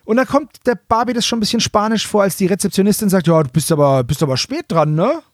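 A man's voice: sits high, lively intonation, fast, no room sound, studio quality. A man chatting casually and humorously as a podcast co-host, colloquial and jokey, slipping into short mock quotes. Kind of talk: casual humorous chat recapping an audio drama.

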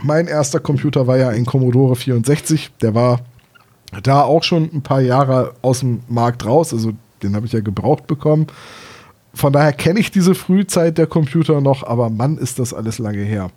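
A man's voice: mid-range voice, moderate pace, mellow. A man in his thirties from Germany chatting casually and enthusiastically as a podcast co-host, with a teasing streak. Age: 30s.

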